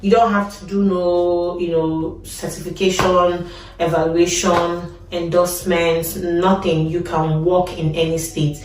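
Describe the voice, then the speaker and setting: A woman's voice: on the low side, level tone, unhurried, noisy background. A woman with a Nigerian accent, a nurse giving advice in a casual vlog, talking conversationally and repeating words for emphasis. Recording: echoey room, distant from mic.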